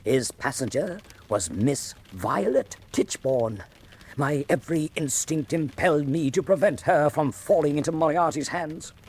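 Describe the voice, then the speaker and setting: An actor playing a grave, shaken doctor who narrates a radio mystery in dramatic, scripted first person. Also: male voice, on the high side, lively intonation, measured pace, slightly rough. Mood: grave, ominous.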